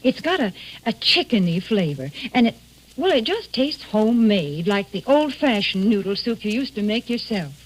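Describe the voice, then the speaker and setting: A woman giving a homey, chatty sales pitch in the folksy style of 1940s radio advertising. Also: big pitch swings, normal speed, poor audio. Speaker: commercial spokeswoman on a radio show. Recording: old 1940s radio broadcast.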